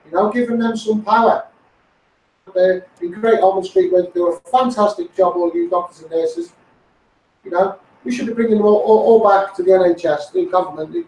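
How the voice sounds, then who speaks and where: A man's voice: high voice, darkish tone. A man with a Scouse accent making an insistent, repetitive campaign appeal to live viewers, directly addressing those in power. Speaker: campaigning video host. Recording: echoey, distant from the microphone.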